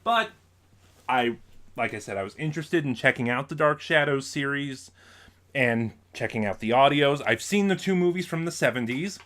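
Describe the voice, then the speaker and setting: A man's voice: medium pitch, expressive, moderate pace, fairly bright tone. A man vlogging a casual unboxing review, explaining things in a rambling way and often correcting himself. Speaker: vlogger reviewing audio drama purchases.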